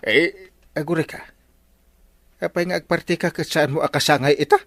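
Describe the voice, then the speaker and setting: An actor with an Ilocano accent playing an excitable, exclaiming village buddy in a radio comedy drama. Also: male voice, on the high side, normal speed, no room sound. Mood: eager.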